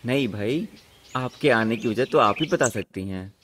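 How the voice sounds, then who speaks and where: A man's voice: medium pitch, lively intonation, moderate pace, fairly bright tone, a little hiss or hum, close to an everyday voice. A man playing a courteous, protective royal husband who is torn and troubled, in a radio drama. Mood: calm. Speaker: actor in a student radio drama.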